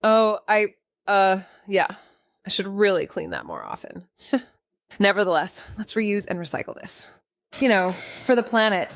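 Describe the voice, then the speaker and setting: An actress playing a sardonic, restless young small-town amateur sleuth, narrating an audio drama in slangy first person. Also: female voice, mid-range voice, big pitch swings, a little slow, no echo, clean studio sound. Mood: wry, muttering. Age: young adult. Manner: wry, sarcastic, slangy.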